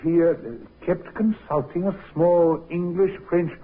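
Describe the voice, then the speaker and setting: A man's voice: high-pitched, fairly even intonation, a little slow. An actor playing a nervous, deferential male chemist who hesitates and stammers, in a 1950s radio drama. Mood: nervous, hesitant. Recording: thin, tinny 1950s radio recording.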